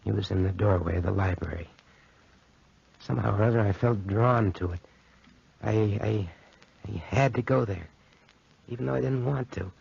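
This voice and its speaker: An actor playing a haunted, guilt-ridden young man, halting and anguished, with a raspy voice, in 1940s radio drama. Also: fairly low pitch, a little mellow, exaggerated voice. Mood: uneasy recounting. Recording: dull 1940s radio recording.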